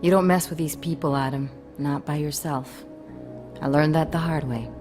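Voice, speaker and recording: An actress plays a wary, hardened female operative in a video game. Her voice is very low for a woman, with a wry, world-weary delivery. Soft music sits behind it. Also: steady tone, moderate pace, natural voice. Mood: grave, confiding. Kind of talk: video game cutscene acting.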